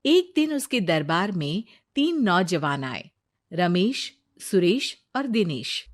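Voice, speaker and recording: Slow-paced woman storyteller. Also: lowish voice, sing-song. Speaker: story narrator. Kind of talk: scripted moral-story narration.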